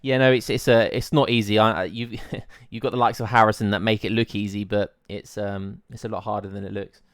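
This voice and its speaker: A man's voice: lowish voice, level tone, fairly quick, no room sound, clean studio sound. A man from England, casting a game match, chatting in an easygoing way and laughing often. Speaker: esports caster. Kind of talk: casual pre-show chat.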